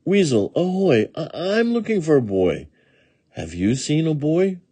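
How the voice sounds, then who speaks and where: Guy doing a little storybook puppy, earnest and polite, voice lifted high, kinda husky. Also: sing-song, measured pace, warm tone, full character voice. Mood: eager, hopeful. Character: earnest young male puppy searching for his boy.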